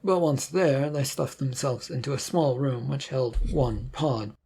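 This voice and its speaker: A narrator reads scripted fiction in a husky voice with plenty of creak. The delivery is that of someone telling a detective story set in space, shifting between description and dialogue. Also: medium pitch, unhurried, dark tone. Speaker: story reader.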